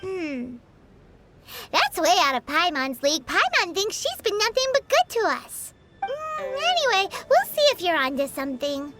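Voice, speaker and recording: A woman voicing a tiny, excitable, greedy and chatty girl companion in a video game, with a squeaky childlike voice and an exclaiming manner. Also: normal speed, over loud music. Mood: pondering. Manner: speaks of self in third person, exclaiming. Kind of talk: video game cutscene voice acting.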